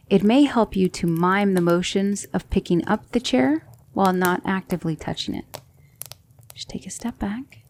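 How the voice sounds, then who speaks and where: Female demon tutor played by an actress: soft ASMR delivery, mellow with a creaky edge, patient, gently commanding. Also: medium pitch, slight background noise. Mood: coaxing, instructive. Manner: measured, instructive, reassuring, with hushed breathy dips. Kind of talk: soft-spoken ASMR roleplay.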